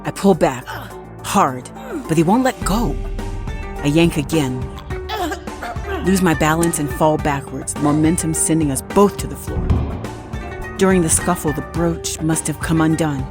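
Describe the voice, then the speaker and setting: A woman's voice: low-pitched, a little slow, pauses often. A woman playing a guarded, scheming nurse who narrates her revenge tale in an intimate, suspenseful audio drama style. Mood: urgent. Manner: intimate, suspenseful, acted delivery. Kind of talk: dramatic first-person audio fiction narration. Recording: dramatic music behind the voice.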